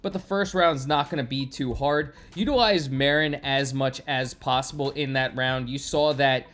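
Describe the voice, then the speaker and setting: A man's voice: medium pitch, moderate pace, a bit bright. A man hosting a gaming video, explaining play in a plain tutorial narration. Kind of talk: scripted gameplay tutorial narration.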